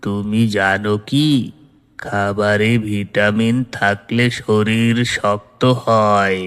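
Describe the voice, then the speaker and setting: A man voicing a self-important dog who lectures gravely in a measured way, as in a cartoon moral story. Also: on the low side, flat delivery, a bit bright. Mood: preachy. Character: self-important dog who thinks himself a wise teacher.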